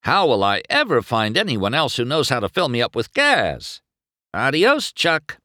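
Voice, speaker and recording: A man's voice: medium pitch, huge pitch swings, slow, bright, forceful tone, dry recording, clean studio sound, voice pushed up, exaggerated voice. A man voicing a cocky, self-centred young male race car with a smug, sarcastic way of talking.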